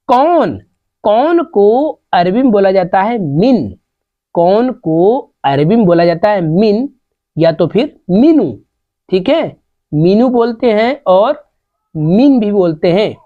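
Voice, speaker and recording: A woman teaching Arabic phrases in a slow, repetitive vocabulary lesson, saying each phrase several times. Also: medium pitch, lively intonation, dry recording. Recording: dull, lo-fi sound.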